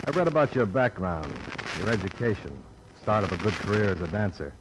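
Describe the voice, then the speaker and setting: An American actor playing a skeptical, terse insurance investigator in an old-time radio detective drama, on a noisy vintage recording. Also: male voice, on the low side, animated, brisk, natural voice. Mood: skeptical, probing. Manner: terse, dry, blunt questioning. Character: hard-boiled, skeptical male insurance investigator. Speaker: private insurance investigator, lead character. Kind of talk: 1940s-50s American radio detective acting.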